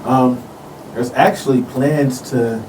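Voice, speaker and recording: A man's voice: mid-range voice, steady tone, takes it slowly. An American man chatting casually as an interview guest, laid-back and peppering his talk with "you know". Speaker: guest, local performer. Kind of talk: casual chat. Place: radio studio. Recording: distant mic with faint room noise.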